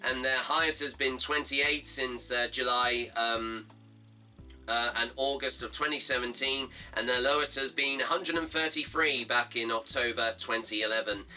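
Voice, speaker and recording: A slightly hoarse man hosting a fan football show, reading out results and previewing a match in a halting way, full of fillers and reading off his notes. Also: medium pitch, fairly even intonation, sharp tone, faint music behind.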